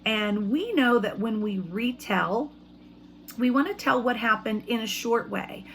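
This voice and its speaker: A woman speaking as an elementary school teacher, reading aloud to children in simple, expressive language and putting questions to them. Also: on the high side, a little slow, slight background noise.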